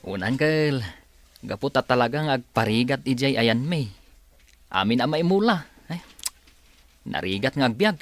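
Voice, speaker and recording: An actor playing an earnest, hardworking young farm worker in a radio drama. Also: male voice, medium pitch, lively intonation, moderate pace, pauses often, no echo, natural voice. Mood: grateful, earnest.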